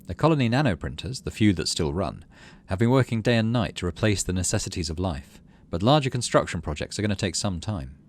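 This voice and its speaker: A man's voice: sits low, lively intonation, fast, hardly any pauses. A man hosting a gaming video, reading visual novel text aloud and adding casual commentary. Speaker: gaming channel host.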